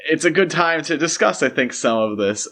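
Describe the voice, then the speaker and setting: A man's voice: fairly high pitch, expressive, normal speed, fairly bright tone. A man hosting a podcast, talking through film history in a casual, conversational style. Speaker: podcast host.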